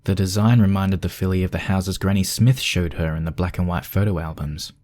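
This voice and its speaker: Low-voiced man narrating a story. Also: fairly even intonation, brisk, a little mellow. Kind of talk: scripted narration of a horror fan fiction.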